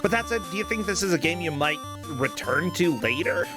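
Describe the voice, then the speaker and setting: A man's voice: a little high, animated, a little fast, over music. A man hosting a game tournament stream, chatting casually with a player and laughing often.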